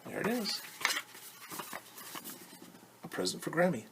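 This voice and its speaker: A man's voice: a little high, wildly swooping pitch, measured pace, noisy background. A man teaching young children, reading a picture book aloud and patiently explaining it in simple terms with questions.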